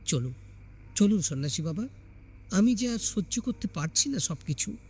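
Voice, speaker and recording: A man's voice: sits high, big pitch swings, normal speed, darkish tone, breathy edge, pitched above the performer's own voice, heavily acted. A man voicing a troubled, devout young wife with a downcast, pleading manner in an audio story. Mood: tearful pleading.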